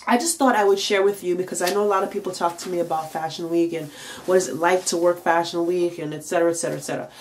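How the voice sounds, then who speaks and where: A woman's voice: lowish voice, fairly even intonation, very fast, darkish tone, slight background noise. Tired, congested woman giving tips. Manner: chatty, frequent fillers, emphatic rhetorical questions. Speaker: makeup and nail artist vlogger. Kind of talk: casual advice vlog to camera.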